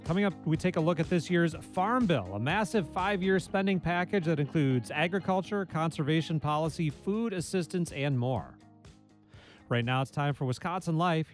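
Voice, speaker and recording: A man who hosts a radio show, reading a scripted preview in a brisk announcer manner. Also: on the high side. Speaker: radio host. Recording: faint music behind voice.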